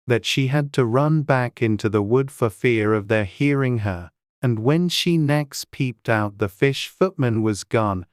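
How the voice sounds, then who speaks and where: Male audiobook narrator for a children's classic, mid-pitched, smooth clear tone, close dry sound. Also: dry recording. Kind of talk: scripted storybook narration.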